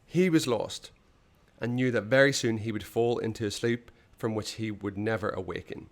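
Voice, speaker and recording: A man reading a children's adventure story aloud in a dramatic, suspenseful way, with hushed phrase endings. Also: fairly low pitch, moderate pace. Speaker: storybook reader.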